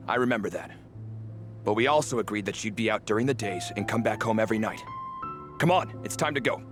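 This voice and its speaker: A man's voice: on the low side, fast, natural voice. A man acting as a protective, strict but polite middle-aged father in a video game, speaking in a fussy, formal way. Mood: stern worry. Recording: background music under the dialogue.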